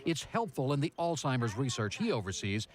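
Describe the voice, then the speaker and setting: Lively American male news reporter. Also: mid-range voice, a little fast. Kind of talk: scripted news narration.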